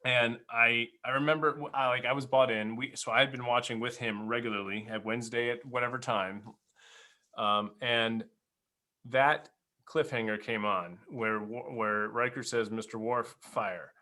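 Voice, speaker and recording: Man, forties, podcast host, bright forceful voice, casual storyteller, animated retelling. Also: mid-range voice, normal speed. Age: 40s.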